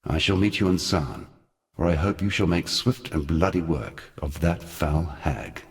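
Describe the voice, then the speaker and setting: An actor playing an ancient, brooding god and grave mentor in a video game, with solemn, eloquent, theatrical delivery. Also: male voice, sits low, measured pace. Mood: grave, instructing. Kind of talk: theatrical scripted game dialogue.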